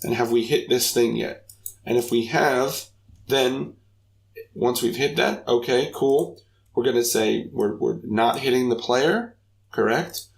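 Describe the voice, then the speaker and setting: A young man hosts a casual game development tutorial, talking through every step in an upbeat, chatty manner. His voice is gravelly and a little raspy, sitting at a middle pitch. He speaks close to a desk microphone. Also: a little slow. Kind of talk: casual screen-recorded coding tutorial.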